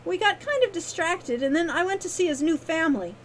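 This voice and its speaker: A woman's voice: extremely high pitch, lively intonation, a little fast, pitched above the performer's own voice. A woman voicing an eager, stubborn young girl with a playful, pleading manner in a storybook tale. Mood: earnest, insistent. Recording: clearly noisy background.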